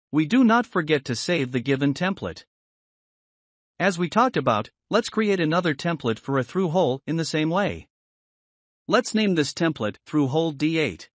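A man giving scripted, instructional software tutorial narration in a measured, explanatory manner. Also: a little high, dry recording.

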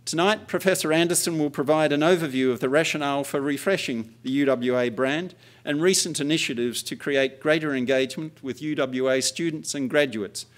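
A man's voice: on the high side, fairly quick, hardly any pauses. A man in his sixties reading a formal introduction from notes at a lectern mic in a large indoor hall. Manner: reads steadily from notes. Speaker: host introducing the speaker.

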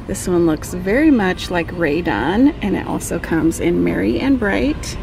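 Slow, gravelly woman listing store prices. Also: fairly low pitch, low-quality recording. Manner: calm, listing prices item by item. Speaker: vlogger. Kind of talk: shop-with-me narration. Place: retail store aisle. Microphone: handheld phone camera.

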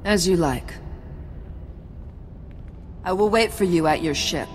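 An actress playing a grave, honor-bound alien bounty hunter in a video game, speaking formally and deliberately. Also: female voice, lowish voice, a little mellow, soft background music. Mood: resigned, stoic. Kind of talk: dramatic video game acting.

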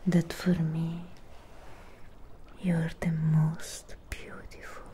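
A woman playing a possessive, adoring Frankenstein's creature girl in a soft ASMR roleplay, whispering breathily and tenderly up close. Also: deep voice, slight background noise. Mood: tender, reassuring. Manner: tender, slow, deliberate, halting short phrases. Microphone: close ASMR microphone.

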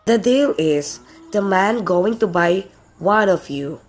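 A woman's voice: medium pitch, expressive, takes it slowly, heavy background noise. Woman voicing a taunting, jealous puppet snake. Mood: insistent. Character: jealous, gloating snake spreading bad news. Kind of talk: amateur puppet show.

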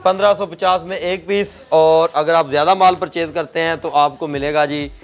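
A man's voice: on the high side, fairly even intonation, moderate pace, soft background music. Man touring a fabric warehouse. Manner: repeats and sums up the seller's points for viewers. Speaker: host. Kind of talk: casual shop tour chat. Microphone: clip-on lapel mic.

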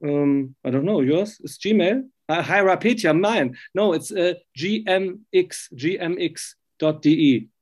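A man with a German accent chats as an interviewer. His voice sits a little high for a man. He speaks casually, in hesitant, halting phrases. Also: expressive, a little mellow. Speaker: interviewer, journalist.

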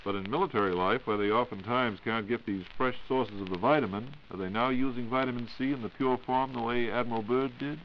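A man's voice: medium pitch, steady tone, fairly quick, hardly any pauses. An American man hosting a scripted 1940s radio interview, putting questions to his guest. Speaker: radio host asking questions. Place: radio studio. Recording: muffled 1940s radio recording with hiss.

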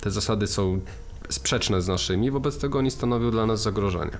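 A man's voice: fairly low pitch, a little fast. A man co-hosting a film podcast, talking through his ideas with many restarts and fillers. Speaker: podcast co-host. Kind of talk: casual film discussion.

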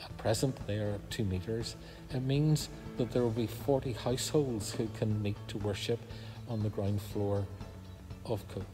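An older minister talks to his congregation in a calm, reassuring way. His voice rings through a big empty church with a long reverb. Music plays clearly behind him. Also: fairly low pitch. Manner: measured, gentle and reassuring. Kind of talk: pastoral announcement to congregation. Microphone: phone camera close up, then from a distance. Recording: echoey church hall with background music.

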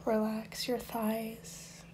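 A woman guiding a meditation in a soft, breathy, near-whispered voice, soothing and unhurried, with long pauses between instructions. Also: a little high, monotone, slight background noise. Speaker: meditation guide. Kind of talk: guided meditation.

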